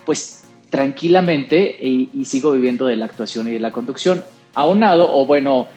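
A man's voice: medium pitch, moderate pace, faint music behind. A middle-aged man from Costa Rica, talking casually as a guest who often laughs. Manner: chatty, relaxed, laughs often. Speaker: guest, actor and presenter. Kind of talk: casual conversation.

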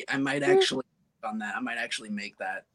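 A man's voice: fairly low pitch, wildly swooping pitch, fast, crowd noise. Young male co-host, mid twenties, easygoing, agreeable, casual podcast chat. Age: 20s.

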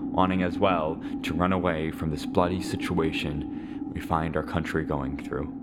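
Slow, very low man acting a grieving elderly widower. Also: a little hiss or hum. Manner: slow, halting, reflective delivery with weighty pauses. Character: lonely, grieving Syrian widower in his seventies, resigned father. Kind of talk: dramatic monologue for a podcast.

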